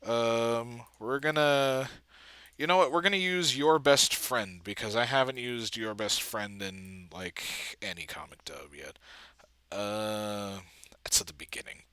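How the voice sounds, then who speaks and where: A man giving a casual walkthrough as an amateur video editor, thinking aloud haltingly and trailing off. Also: medium pitch, sharp tone, clear, resonant tone, no room sound. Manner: halting, trailing off mid-thought.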